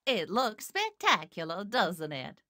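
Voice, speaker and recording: A man voicing a bossy, prim woman who is the elder sister, speaking in a haughty, drawn-out way in a storybook reading. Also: high-pitched, wildly swooping pitch, sharp tone, no echo, studio quality, pitched above the performer's own voice, full character voice. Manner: haughty, drawn-out commanding delivery. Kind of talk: storybook read-aloud.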